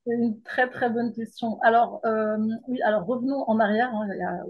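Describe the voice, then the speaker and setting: A middle-aged woman from the Paris region speaks as an interview guest. She thinks aloud, restarts and pauses often, and her husky voice comes through a dull, muffled remote line. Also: a little high, fairly even intonation. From France, Paris region. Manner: thoughtful, hesitant with many fillers and restarts. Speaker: guest, mindset coach and teacher.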